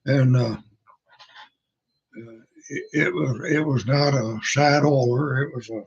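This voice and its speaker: An older man hosting a livestream, chatting casually in a hoarse, rough voice, halting and folksy with many pauses and fillers. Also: medium pitch. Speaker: livestream host. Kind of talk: casual rambling chat. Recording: dull lo-fi sound.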